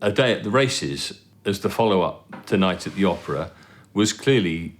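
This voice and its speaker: Deep-voiced man giving measured analysis. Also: lively intonation, faint music behind. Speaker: commentator. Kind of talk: documentary interview analysis.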